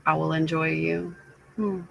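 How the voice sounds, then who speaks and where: A woman live streamer chatting casually with her viewers, sounding stuffy and raspy, rambling with lots of fillers. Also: low-pitched, flat delivery, a bit bright, poor audio. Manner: slow, rambling, many fillers.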